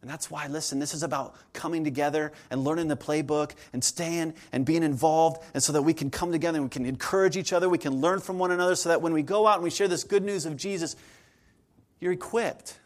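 A man's voice: on the high side, steady tone, quick pace. A man, preaching a sermon to his congregation in an earnest, conversational way, often repeating his phrases. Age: 40s. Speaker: pastor.

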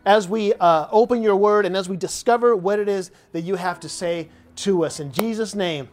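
A man's voice: high voice, moderate pace. American man preaching conversationally. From United States. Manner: conversational preaching with repeated phrases. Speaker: pastor. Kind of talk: sermon. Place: church sanctuary.

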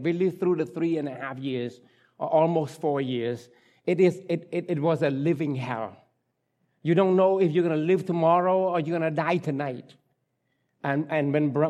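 A man in his sixties from Cambodia, speaking English with a Cambodian accent, gives a halting personal testimony to a church congregation, full of fillers and restarts. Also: fairly high pitch, darkish tone. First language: Khmer. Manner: halting, many fillers and restarts, light self-deprecating laughs.

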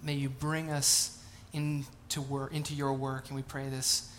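A man's voice: mid-range voice, flat delivery, a little slow, a bit bright, slight background noise. A man in his late thirties, a pastor, reading scripture, praying and then telling casual, self-deprecating stories in a sermon. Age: 30s. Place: church sanctuary.

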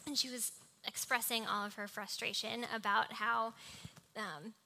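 A woman's voice: fairly high pitch, steady tone, unhurried, creaky, sharp tone. A young woman preaching a sermon with personal anecdotes, in a small, cartoonish voice. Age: young adult. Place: live talk before a congregation.

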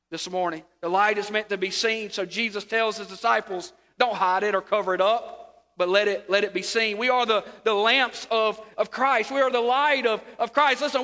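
A man preaching a sermon, sniffly from a sore throat, repeating words and calling for amens. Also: very high voice. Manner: repeats words, rises to near shouts, calls for amens. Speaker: pastor. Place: church sanctuary. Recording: long hall reverb.

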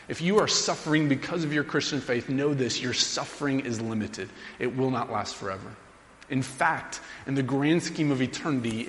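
A man in his thirties preaching a sermon as pastor, earnest and emphatic, slowing down to read scripture aloud. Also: mid-range voice, a little hiss or hum. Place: church sanctuary. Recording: long reverb of a large hall.

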